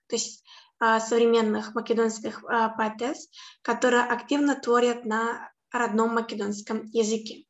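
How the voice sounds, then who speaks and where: A young woman gives an online lecture on a video call from a room at home. Her voice sits a little high, and her measured delivery is broken by hesitant fillers and self-corrections. The webcam audio picks up a noticeable room echo. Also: highs cut off. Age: young adult. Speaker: lecturer on Slavic poetry. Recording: echoey room.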